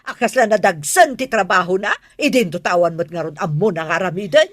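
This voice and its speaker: A woman's voice: fairly low pitch, fairly quick, no room sound, studio quality, lightly acted. A woman with an Ilocano accent playing a stern, exasperated but caring middle-aged mother in a radio drama.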